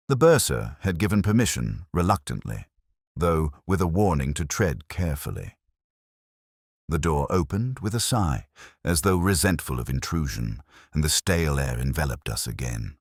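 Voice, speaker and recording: A man narrating a first-person detective story as a brooding police inspector, in a measured, literary, reflective manner. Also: a bass voice, no room sound, studio quality.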